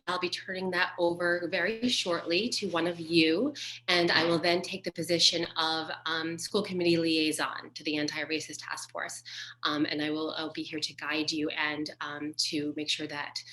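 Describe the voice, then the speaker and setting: A woman chairs an online school meeting and briskly introduces herself. Her voice is bright, forceful and a little low. Faint noise sits behind her on the call. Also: poor audio. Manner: brisk, organized.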